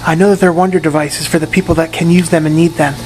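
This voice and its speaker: A man with a hoarse, raspy voice giving a casual video update from his room in a rehab facility, often repeating and restarting his words. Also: fairly high pitch, steady tone, quick pace, darkish tone, a little hiss or hum. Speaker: patient giving a recovery update.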